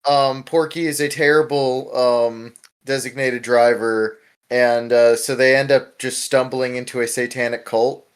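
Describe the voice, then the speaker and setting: A man's voice: mid-range voice, steady tone, unhurried, clear, resonant tone. A man hosting a casual discussion podcast, talking in an informal, rambling way with plenty of swearing. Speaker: podcast host.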